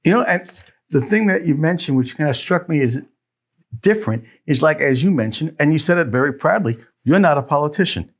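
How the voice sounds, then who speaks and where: A male interview host with a lively, rising and falling voice. He asks his questions in a friendly, conversational way and keeps the exchange moving. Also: a little high, a little fast. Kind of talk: interview hosting.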